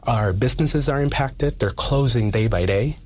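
Husky-voiced man, emphatic activist. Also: medium pitch, moderate pace. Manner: emphatic, repeats phrases for weight. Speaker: community activist giving an interview. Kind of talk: earnest advocacy interview.

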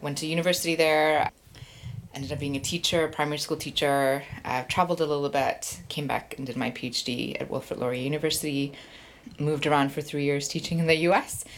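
A middle-aged woman with a low voice speaks as a guest in a relaxed interview. Her talk is informal and explanatory, in the loose phrasing of casual conversation. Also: a little fast. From Canada, born in Sri Lanka. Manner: informal, explanatory, frequent 'like' hedging. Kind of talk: casual chat and cooking walkthrough.

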